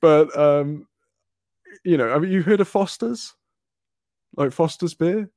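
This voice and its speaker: A man's voice: fairly high pitch, takes it slowly, darkish tone, no echo, clean studio sound. Chatty man telling anecdotes. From Wales. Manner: rambling anecdotes with frequent restarts and laughter. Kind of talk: casual chat, storytelling.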